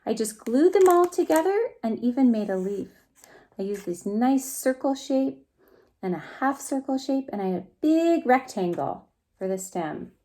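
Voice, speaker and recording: Gentle, mellow woman reading to young children. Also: fairly high pitch, sing-song, normal speed. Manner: gentle, asks the listening children questions. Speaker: preschool story time reader. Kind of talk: expressive picture-book read-aloud for young children.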